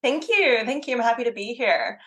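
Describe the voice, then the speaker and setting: A woman in her thirties from Canada, with a Canadian accent softened by years in Australia, talking casually as an interview guest with plenty of ums and likes. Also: fairly high pitch, sing-song, normal speed. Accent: Canadian with some Australian. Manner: chatty, frequent ums and likes, laughs easily. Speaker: guest, fitness coach.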